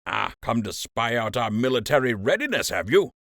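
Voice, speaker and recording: A man's voice: mid-range voice, sing-song, moderate pace, a bit bright, no echo, studio quality, pitched above the performer's own voice. A man voicing a fierce old rabbit army captain in an audiobook, snarling and accusing. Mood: scornful, furious.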